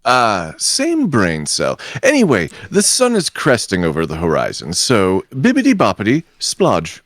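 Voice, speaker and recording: A man's voice: on the low side, huge pitch swings, measured pace, fairly bright tone, no room sound, studio quality, full character voice. A man playing a whimsical wizard in a comic audio drama, theatrical with silly incantations. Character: whimsical male wizard brewing dog-based potions.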